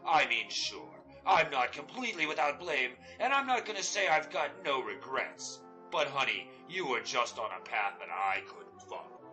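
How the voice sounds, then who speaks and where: A man's voice: medium pitch, very animated, moderate pace, sharp tone, faint music behind, low-quality recording. A man playing a self-pitying, sheepish space captain in a comic sci-fi radio play, with wry, hammy delivery. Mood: defensive.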